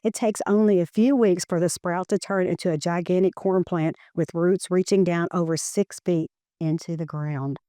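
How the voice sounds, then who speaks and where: A woman reads a children's educational picture book aloud in measured, deliberate phrasing. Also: on the low side, fairly even intonation, warm tone, no room sound, studio quality.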